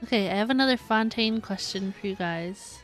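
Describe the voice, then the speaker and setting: A woman's voice: mid-range voice, a little fast, faint music behind. A woman streaming a video game, chatting casually and giggly with her viewers. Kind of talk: casual livestream chat with viewers.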